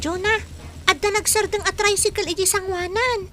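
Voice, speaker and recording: A woman's voice: very high-pitched, fairly even intonation, moderate pace, bright, forceful tone, a little hiss or hum. A woman playing a bossy, complaining child in a radio comedy drama, speaking with an Ilocano accent in a whiny, emphatic way. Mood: eager.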